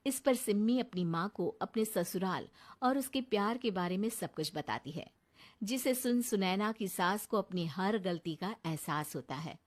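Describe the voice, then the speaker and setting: A woman's voice: mid-range voice, measured pace. A woman narrator telling a scripted cartoon story.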